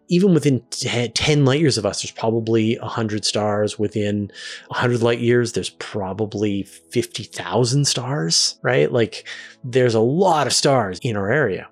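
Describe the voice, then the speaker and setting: A man in his fifties hosts a relaxed solo question-and-answer show, chatting his way through answers and personal stories. His voice sits on the low side, and his talk drifts along with plenty of "uh" and "you know" fillers. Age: 50s. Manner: reads a long list of names quickly. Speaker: host. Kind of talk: casual solo Q&A monologue.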